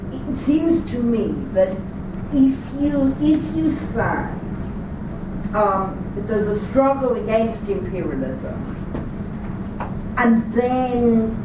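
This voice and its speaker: A woman making an assertive rebuttal in a discussion, with frequent restarts. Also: a little high. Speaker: audience member. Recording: far mic, echoey room, noise.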